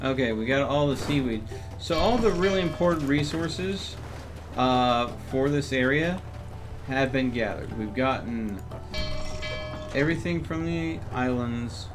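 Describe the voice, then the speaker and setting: Male game streamer, casual live commentary, slightly high-pitched ordinary voice, thinking aloud, music behind. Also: expressive, unhurried. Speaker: game livestreamer, host.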